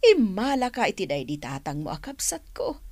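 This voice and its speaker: A woman's voice: medium pitch, very animated, normal speed, darkish tone, no room sound. A woman playing a nosy, plain-living aunt in a radio comedy drama, exclaiming expressively with an Ilocano accent. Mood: gossiping. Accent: Ilocano, northern Philippines.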